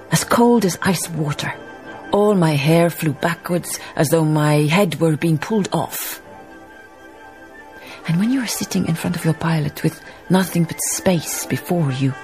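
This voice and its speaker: An actress playing a reflective woman memoirist, narrating a radio drama in a measured, lyrical way, savouring her phrases. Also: low voice, darkish tone. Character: reflective, poetic woman memoirist recalling colonial farm life.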